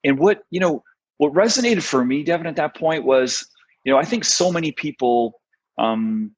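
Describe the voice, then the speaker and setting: A middle-aged American man, a podcast guest, telling his career story in a relaxed way with many fillers. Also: on the high side, normal speed, muffled recording, slight background noise. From United States. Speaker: guest, talent strategist. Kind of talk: podcast interview.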